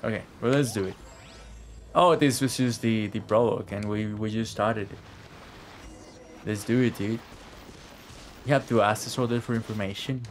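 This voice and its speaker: A man's voice: fairly low pitch, normal speed, long pauses, slight background noise. Casual, slangy male game streamer. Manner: rambling, slangy, profane. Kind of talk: casual live gameplay commentary. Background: faint game music.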